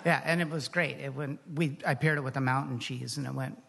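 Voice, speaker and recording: A man's voice: medium pitch, fairly even intonation, brisk, creaky, a bit bright, a little hiss or hum. A man speaking as an interview guest, explaining things in a casual, informal, easygoing way. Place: large hall, live radio show. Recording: reverberant live hall.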